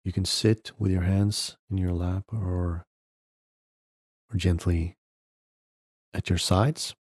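A man guides a meditation in a very low, mellow voice. He speaks slowly, leaving long pauses between short, gentle instructions. The sound is dry and close, with no room around it. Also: fairly even intonation, slightly rough, no room sound. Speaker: podcast host guiding a meditation.

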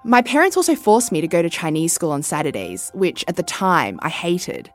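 Woman in her 30s narrating a doc podcast, lowish voice that moves around a lot, music faint behind. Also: very animated. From Australia. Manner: measured, reflective. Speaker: correspondent and podcast host. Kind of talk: scripted documentary narration.

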